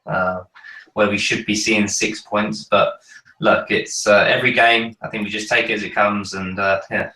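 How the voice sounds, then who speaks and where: A man with a croaky, cold-hoarsened voice, chatting casually with many ums on a fan podcast. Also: fairly low pitch, steady tone, moderate pace, pushed and bright, reverberant, recorded from a distance, poor audio. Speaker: podcast panelist. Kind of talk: casual chat.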